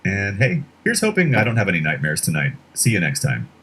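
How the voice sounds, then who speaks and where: A man narrating a scripted horror explainer in a measured, dramatic storytelling way. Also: sits low, huge pitch swings, a bit bright, slight background noise.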